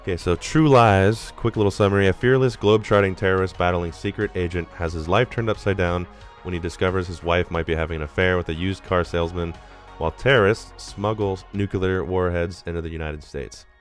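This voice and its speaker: A man's voice: deep voice, fairly even intonation, a little fast, soft background music. A man hosting a live movie-review stream, talking casually and rambling, a little nasal from being sick. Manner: rambling, casual, swears freely.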